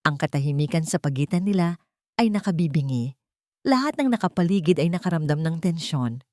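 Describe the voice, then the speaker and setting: A Filipino woman narrates a dramatic story in a fairly low voice. The recording is dry and close, with very little room around it. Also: lively intonation, fairly quick, darkish tone, dry recording.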